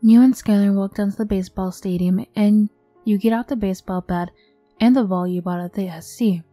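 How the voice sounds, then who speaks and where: A woman narrates an ASMR audio story in a very mellow, soft-edged voice. She reads scripted second-person narration. The recording is close and dry, with music underneath. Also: medium pitch, steady tone, a little fast, dry recording.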